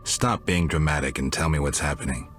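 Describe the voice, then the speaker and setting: An actor playing a stoic, blunt monster hunter for hire in a video game, speaking in a terse, dry, restrained way. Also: male voice, extremely low pitch, a little fast, a bit bright, soft background music.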